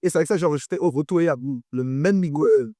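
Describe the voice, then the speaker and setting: Young man with a French accent, dark and dry. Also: on the high side, racing, dry recording. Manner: animated, repeats phrases for emphasis. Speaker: guest artist and producer. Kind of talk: casual interview chat.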